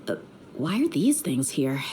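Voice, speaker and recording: A woman's voice: deep voice, sing-song, a little slow, warm tone, a little hiss or hum. An actress playing a tough young woman mercenary in a video game, dry and weary with tenderness underneath. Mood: wry, exasperated. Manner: dry, weary, plain-spoken. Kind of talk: video game character dialogue.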